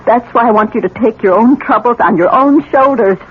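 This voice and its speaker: An actress playing a bitter, lonely middle-aged wife and mother in a theatrical, halting 1970s radio drama style. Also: a little high, close to an everyday voice. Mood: anguished, brooding. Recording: tinny, noisy old radio broadcast.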